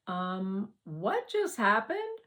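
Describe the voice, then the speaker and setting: A woman's voice: medium pitch, big pitch swings, drawn-out words, fairly bright tone, exaggerated voice. A woman voicing a reserved, kind schoolboy in a storybook, with an understated manner. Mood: puzzled.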